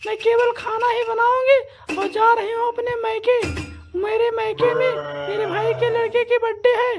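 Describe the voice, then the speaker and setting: A woman playing a sharp-tongued young daughter-in-law who argues back in a comic family sketch. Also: very high-pitched, flat delivery, moderate pace. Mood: argumentative. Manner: defiant, argumentative. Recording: loud backing music.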